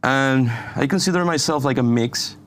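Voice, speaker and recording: A young man from Venezuela, a university student answering interview questions in halting English and saying "you know" often. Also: medium pitch, a bit bright, a little hiss or hum. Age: young adult. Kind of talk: casual interview.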